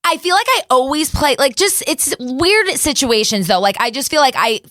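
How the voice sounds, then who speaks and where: A woman's voice: high-pitched, expressive, normal speed, a bit bright, no echo, studio quality. A woman in her thirties hosting a podcast, chatting casually in rambling, filler-heavy talk with a lot of swearing. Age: 30s. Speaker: podcast host and stand-up comic. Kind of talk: casual chat.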